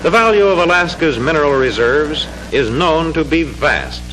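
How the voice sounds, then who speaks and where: Formal 1940s newsreel-style male narrator, lively pitch. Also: poor audio. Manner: formal, measured, declamatory delivery. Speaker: narrator of an educational documentary film. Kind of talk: 1940s scripted educational film narration. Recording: 1940s film soundtrack with music. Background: music.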